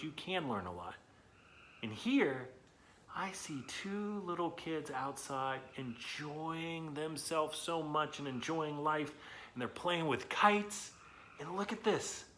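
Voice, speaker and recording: A man hosting a read-aloud lesson for children, talking in an animated, chatty, encouraging way with emphatic words and questions to his listeners. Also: medium pitch, a little hiss or hum. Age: adult, not young.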